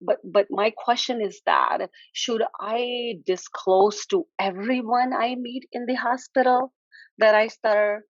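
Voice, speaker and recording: Young adult female, student posing a question on a web call. Stutter, slow halting delivery, candid, dull dry sound. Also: fairly high pitch, fairly even intonation, dry recording. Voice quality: stutter with blocks and repeated sounds. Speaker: pharmacy student asking a panel a question. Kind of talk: earnest question in a group discussion. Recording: dull lo-fi online call audio.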